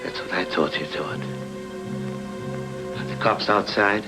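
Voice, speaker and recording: A man's voice: sits low, a little slow, over loud music. Man playing a suave, menacing noir crook. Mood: coolly menacing. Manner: polite, dry, controlled. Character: suave, coolly menacing male crook, jilted husband. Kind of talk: film noir radio drama acting.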